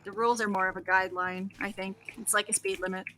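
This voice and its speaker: Bright slangy woman, noisy recording. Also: mid-range voice, little pitch movement, fast. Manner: slangy, informal, swears casually. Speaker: voice actor being interviewed. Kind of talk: casual chat.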